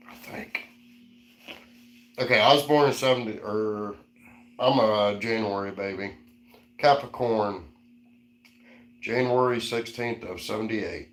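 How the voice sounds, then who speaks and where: A man's voice: lowish voice, slow, long pauses, echoey room, poor audio. A man in his forties co-hosting a casual live-stream chat, easygoing and reading out viewer comments. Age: 40s. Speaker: co-host.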